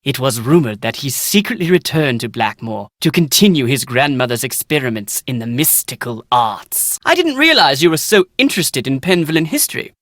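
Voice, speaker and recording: An actor playing a pompous, excitable British scholar and would-be author in a video game, speaking in bookish, theatrical diction. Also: male voice, mid-range voice, moderate pace, few pauses, no room sound, studio quality, close to an everyday voice. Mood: courteous, bargaining. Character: Nigel Mukherjee, pompous, excitable British male scholar writing family history. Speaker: researcher and aspiring author. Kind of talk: video game character dialogue.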